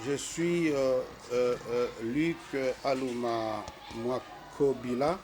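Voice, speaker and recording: Hesitant Congolese man, slow and earnest. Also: medium pitch, fairly even intonation. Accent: Congolese French. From Congo-Kinshasa. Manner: hesitant, many fillers; earnest, preacherly emphasis. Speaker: school representative. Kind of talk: formal address presenting a school. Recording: faint background noise.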